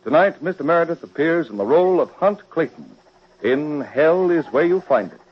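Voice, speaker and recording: A man's voice: sits high, slightly rough. A man announcing in a formal 1940s radio style. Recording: muffled 1940s radio recording. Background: faint music.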